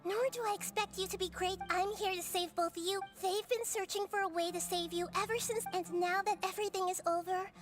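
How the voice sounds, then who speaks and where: A small, wise goddess girl from an anime game, with a very high, soft voice. She is earnest and gentle and reasons things out calmly. Music plays behind her. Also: brisk, hardly any pauses. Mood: earnest, firm.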